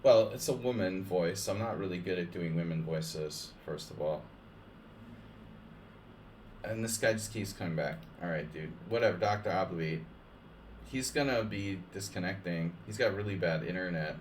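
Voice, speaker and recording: Low-voiced rambling male chess streamer. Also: normal speed, a little hiss or hum. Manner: rambling, lots of "like" and restarts; loose, joking asides. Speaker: chess streamer playing viewers. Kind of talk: casual live-stream chat over games.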